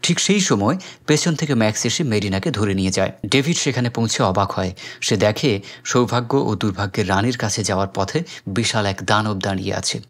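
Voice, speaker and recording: A man's voice: on the low side, brisk. A man with a Bangladeshi accent narrates a film recap as scripted storytelling.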